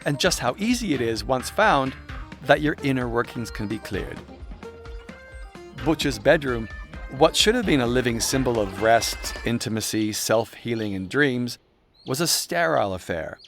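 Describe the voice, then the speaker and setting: A man's voice: medium pitch, animated, measured pace, a bit bright. A man reading his own self-help audiobook in a wry, irreverent, conversational narrating style, slipping into chatty asides. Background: music soundscape.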